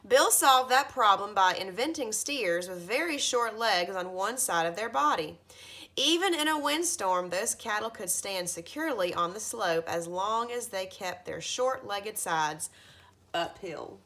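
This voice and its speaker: A woman in her thirties reading a children's storybook aloud like a teacher, with expressive emphasis at the starts of phrases. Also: medium pitch, unhurried. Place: carpeted living room at home, indoors.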